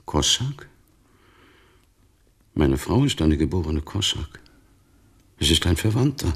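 An actor in a German radio play, playing a weary, resigned old immigrant man with a gravelly voice and a subdued, reluctant way of speaking. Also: a bass voice, big pitch swings, slow, heavily acted. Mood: resigned, confiding. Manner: subdued, reluctant, plain-spoken.

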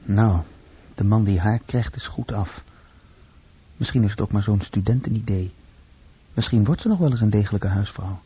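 A man's voice: fairly low pitch, normal speed, breathy edge. An actor playing a bitter, brooding man musing intensely in a radio play. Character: brooding, bitter man absorbed in inner thoughts. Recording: muffled, faintly noisy old radio recording.

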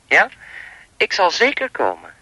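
A man's voice: sits high, huge pitch swings, measured pace, pushed and bright, breathy edge, no echo, heavily acted. An actor playing a chatty, lewd and menacing anonymous male caller in a radio play, insinuating and mock-polite as he rambles. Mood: taunting, controlled.

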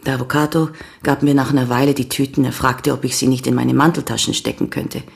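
An actress playing a candid, unwavering avenging woman who confesses to police in a radio crime drama, speaking with calm, earnest deliberation. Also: very deep voice, fast talker, gravelly. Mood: composed, matter-of-fact.

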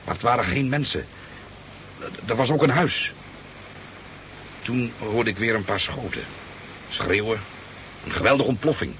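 A man's voice: mid-range voice, expressive, measured pace, long pauses, fairly bright tone. An actor playing a talkative, easygoing sport fisherman in a 1970s radio drama, telling his tale in a hesitant, rambling way. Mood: animated storytelling. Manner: hesitant, rambling storytelling with stammers. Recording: dull, noisy 1970s radio recording.

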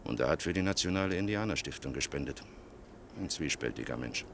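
An actor playing a grave, world-weary older male official in a German radio play, speaking with measured, bitter irony. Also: extremely low pitch, animated, noisy background. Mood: grave explaining. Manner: measured, sardonic, rhetorical.

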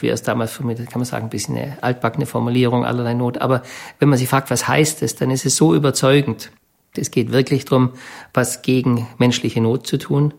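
A man talks reflectively in an interview. His voice sits at a middling pitch with plenty of gravelly creak, and he builds his thoughts in measured phrases. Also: darkish tone. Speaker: interviewee, descendant of a company founder.

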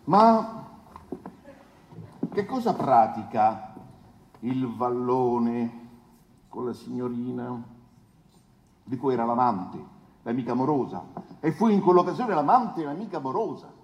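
An actor playing a pompous, insinuating defence lawyer who declaims to the court with rhetorical pauses and questions. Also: male voice, medium pitch, animated, darkish tone. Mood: insinuating, emphatic. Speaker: stage actor. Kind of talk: theatrical courtroom oratory. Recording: echoey hall with long reverb.

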